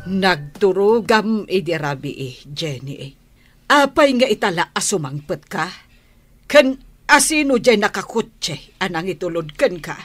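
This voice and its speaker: A woman's voice: on the low side, very animated, measured pace, soft background music. An actress with an Ilocano Filipino accent playing a doubtful, questioning middle-aged mother in a radio drama.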